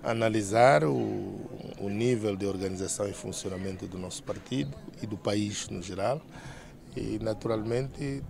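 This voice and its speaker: A man's voice: lowish voice, moderate pace, gravelly, a crowd in the background. A middle-aged man with a Mozambican accent, giving a press interview outdoors as a party member. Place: outdoors in a paved courtyard.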